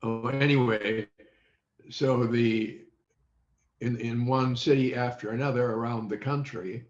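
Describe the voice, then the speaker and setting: Elderly man, slow and halting, roomy sound. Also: medium pitch, fairly even intonation, faint music behind. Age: 80s or older. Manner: halting, measured, with long pauses. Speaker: interview guest, former radio quiz show child panelist. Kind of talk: unscripted reminiscing in an interview. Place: indoors, on a video call. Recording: dull, roomy video call audio.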